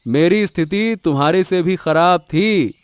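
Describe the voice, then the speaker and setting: A man's voice: sits high, a little slow, smooth, no room sound. A man voicing an emotional elder brother, a village farmer, in a dramatic, emphatic cartoon story style. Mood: friendly, encouraging.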